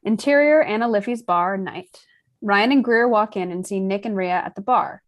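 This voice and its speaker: A woman's voice: medium pitch, normal speed. A woman reading out scene directions as scripted narration at a screenplay table read. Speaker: reader of stage directions.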